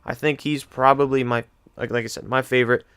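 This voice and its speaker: A man hosts a video countdown in a casual, unscripted way. His voice is clear and smooth with a mid pitch, and he rambles with enthusiasm. The sound is very dry. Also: flat delivery, brisk, a bit bright, no echo.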